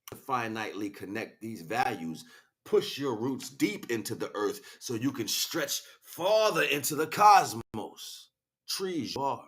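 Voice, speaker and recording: A man delivering an impassioned, improvised spiritual monologue, preachy and grandiose, swinging between hushed asides and loud, emphatic declarations. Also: medium pitch. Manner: preachy, grandiose, repeats phrases for weight. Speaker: spiritual speaker, streamer.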